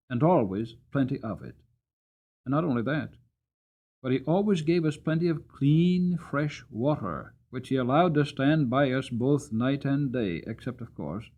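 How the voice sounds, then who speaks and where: A man voicing a gentle, earnest cab horse who tells his own life story in an expressive storybook reading. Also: mid-range voice, slightly rough, exaggerated voice. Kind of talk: dramatic audiobook storytelling.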